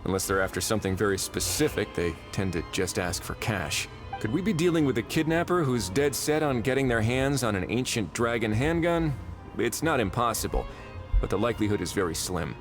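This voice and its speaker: A man's voice: fairly low pitch, very animated, brisk. A man voicing a shrewd, composed official with dry, wry authority in a video game. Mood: analytical, composed. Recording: faint music behind the voice.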